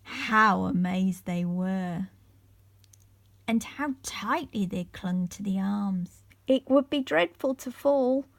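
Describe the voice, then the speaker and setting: A woman reading a children's bedtime story aloud as an expressive storyteller. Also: mid-range voice, slow pace, vocal fry.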